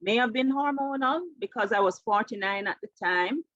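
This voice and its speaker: A woman's voice: a little high, moderate pace, fairly bright tone, no room sound, muffled recording. A woman in her fifties with a Jamaican accent, telling her personal story conversationally as an interview guest. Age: 50s. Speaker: interview guest, keto coach.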